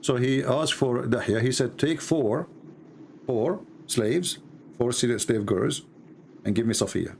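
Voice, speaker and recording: Gravelly man with an Arabic accent. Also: medium pitch, normal speed, darkish tone, a little hiss or hum. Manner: insistent, repeats points, asks for agreement. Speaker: show host. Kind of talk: live call-in debate.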